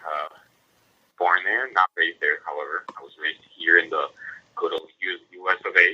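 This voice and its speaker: Husky twenty-something man, very low, punchy voice, excitable casual chatter, lo-fi. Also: moderate pace. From northern Spain. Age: 20s. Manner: excitable, repetitive, heavy use of "like". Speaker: podcast guest. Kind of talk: casual chat. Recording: dull lo-fi recording.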